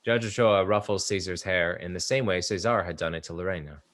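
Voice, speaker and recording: Low-voiced man reading stage directions. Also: fairly quick, fairly bright tone. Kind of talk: play table read. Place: art exhibition theater area.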